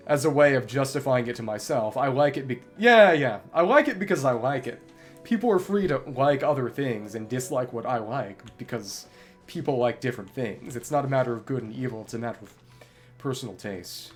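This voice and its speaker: Wordy male streamer over prominent music. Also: mid-range voice. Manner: wordy, hesitant, thoughtful, searching for words. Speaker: game streamer. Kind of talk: casual rambling livestream chat while gaming. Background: game music.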